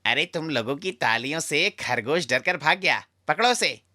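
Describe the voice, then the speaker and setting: A man's voice: a little high, lively intonation, moderate pace, pushed and bright. A man voicing a small, fearless magician mouse, showy and confident, in a cartoon story. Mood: showy, excited.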